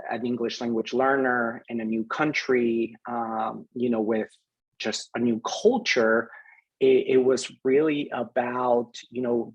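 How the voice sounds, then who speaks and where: Reflective man from Peru, husky voice. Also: mid-range voice, poor audio. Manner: reflective, unhurried, frequent fillers. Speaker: program director giving a graduation message. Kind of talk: recorded address to graduating students.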